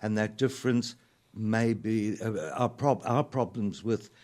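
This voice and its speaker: South African man, mature, low-pitched, thoughtful, halting delivery, radio interview guest. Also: darkish tone. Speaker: interview guest, author and lyricist. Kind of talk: reflective radio interview talk.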